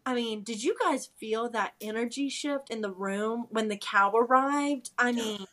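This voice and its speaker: A woman's voice: a little high, a little slow, fairly bright tone. A woman co-hosting a podcast, recapping in a casual, slangy, emphatic way. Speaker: podcast co-host.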